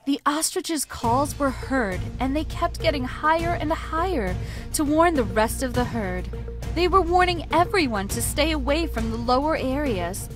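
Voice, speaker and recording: A woman narrating a scripted children's bedtime story as storyteller. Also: high-pitched.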